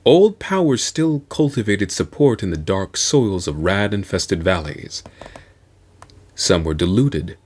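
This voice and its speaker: Man, lowish voice, swooping expressive intonation, theatrical and deliberate, reading science fiction aloud. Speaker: host reading an audiobook. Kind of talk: scripted narration of a science fiction novel.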